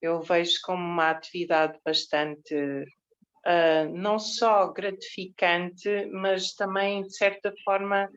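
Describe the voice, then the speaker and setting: A woman moderating an online panel, asking long questions with many fillers and restarts. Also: fairly low pitch. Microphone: video call. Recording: dull lo-fi video call audio.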